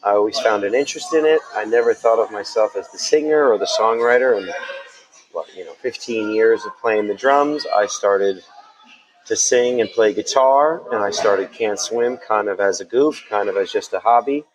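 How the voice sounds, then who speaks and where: A friendly New man with a fairly low voice. He answers interview questions in a relaxed way. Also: normal speed, background noise. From New Jersey. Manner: relaxed, friendly, laughs along. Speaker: musician guest.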